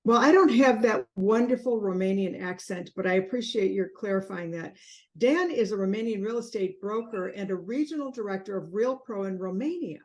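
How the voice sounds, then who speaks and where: A woman hosting an interview, gently leading her guest and complimenting him. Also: medium pitch, moderate pace. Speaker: interview host. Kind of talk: video interview.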